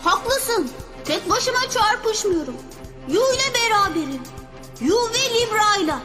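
A woman's voice: very high voice, animated, a little slow. A woman voicing an earnest, stubborn and emotional young boy fighter in a dubbed anime cartoon. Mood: determined. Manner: earnest, impassioned declarations. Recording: music behind the voice.

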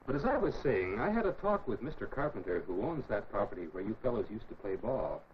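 A middle-aged American mentor in a 1950s educational film. He is firm and fair, lecturing with varied, lively intonation. The old soundtrack is dull, with some steady noise. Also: lowish voice. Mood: helpful, frank. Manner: measured, lecturing.

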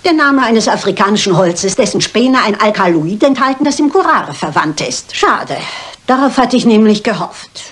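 An actress playing a sharp-witted, stubborn older lady detective in a German crime radio play, speaking briskly and precisely. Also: medium pitch, expressive, close to an everyday voice. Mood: absorbed, matter-of-fact.

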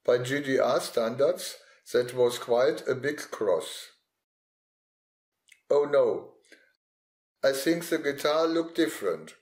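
A man's voice: medium pitch, animated, takes it slowly, pauses often, a little mellow. A man informally recalling his own memories as an eyewitness, in the first person. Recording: roomy, echoing voice recording.

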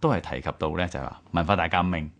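A man's voice: low-pitched, fast, fairly bright tone, dull audio. A man with a Hong Kong Cantonese accent co-hosting a radio programme, giving conversational analysis of history.

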